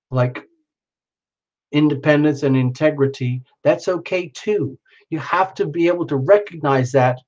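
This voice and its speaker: A man's voice: on the high side, dull audio. A man hosting a live stream, giving a casual, exuberant tarot reading and speaking straight to the viewer while losing his voice. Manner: exuberant, chatty, addresses viewer by name.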